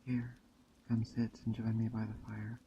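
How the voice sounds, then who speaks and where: A man playing a calm, hospitable witch of a woodland coven in a gentle, unhurried ASMR roleplay that drifts into soft whispers. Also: lowish voice, gravelly, highs cut off, slight background noise. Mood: welcoming, calm. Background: rain and forest ambience.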